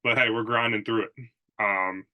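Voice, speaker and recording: A man's voice: on the low side, steady tone, normal speed, smooth, muffled recording. A young man with a nasal, stuffed-up voice from allergies, hosting a casual solo podcast and rambling with lots of fillers. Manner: rambling, filler-heavy, says "like" and "dude" often. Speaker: podcast host and strength coach. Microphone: Zoom recording.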